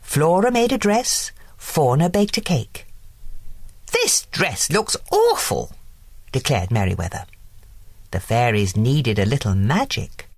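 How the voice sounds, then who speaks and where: Woman narrator, low pitch, slow pace, children's storybook style, deliberate and expressive, music behind. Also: dry recording.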